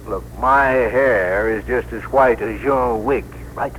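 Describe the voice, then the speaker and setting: An actor playing a talkative, good-humored older cab driver, colloquial and blustery, in a 1960s radio drama. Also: male voice, medium pitch, takes it slowly, a bit bright. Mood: amused, chatty. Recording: noisy vintage radio broadcast recording.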